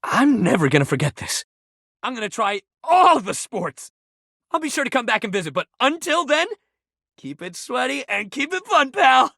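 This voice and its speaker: An actor playing a sports-obsessed, warm-hearted young jock pal in a video game, with exuberant, slangy bro talk. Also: male voice, very high voice, big pitch swings, normal speed, vocal fry, no echo, clean studio sound, full character voice. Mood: excited. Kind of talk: video game character acting.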